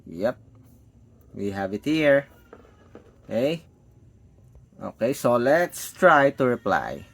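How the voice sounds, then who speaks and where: A man's voice: medium pitch, very animated, slow, vocal fry, long pauses, a bit bright. A man giving an unscripted, step-by-step hands-on product demo, thinking aloud as he tests. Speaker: tech product reviewer.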